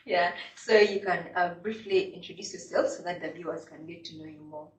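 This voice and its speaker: A woman's voice: fairly low pitch, brisk. A woman hosting a casual interview, chatting and often filling with "like". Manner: chatty, leans on "like" fillers. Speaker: podcast host. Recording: echoey, distant mic.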